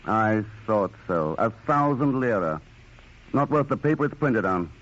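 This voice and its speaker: An actor playing a suave, wry, unflappable secret agent with dry, flirtatious banter in a 1940s radio drama. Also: male voice, on the low side, animated, measured pace. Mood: dry bargaining. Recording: dull, noisy old radio recording.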